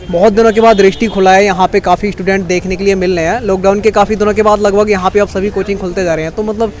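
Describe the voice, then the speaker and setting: A man's voice: high voice, fairly even intonation, fast talker. A young man hosting a street vlog, chatting and prompting passers-by with questions. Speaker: YouTuber interviewer. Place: busy street outdoors.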